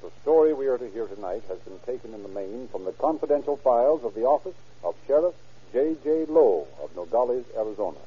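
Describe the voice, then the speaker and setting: A man's voice: medium pitch, animated, brisk. Formal male announcer on tinny old radio. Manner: formal, emphatic salesmanship and narration. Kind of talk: 1930s radio announcing, scripted.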